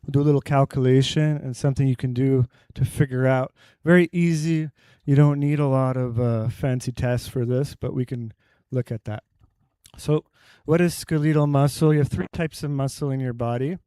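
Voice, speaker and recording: A man at a presenter's mic gives a health talk to a live audience and quizzes the room with questions. His voice is mellow and warm, and the sound is dry and close with little room echo. He speaks at an average pitch and explains through simple analogies. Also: fairly even intonation, moderate pace, smooth.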